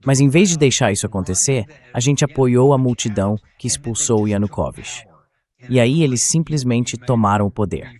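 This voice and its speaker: A man's voice: medium pitch, lively intonation, moderate pace, darkish tone. Brazilian man explaining things in an interview. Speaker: interview guest giving political commentary. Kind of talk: translated interview voice-over.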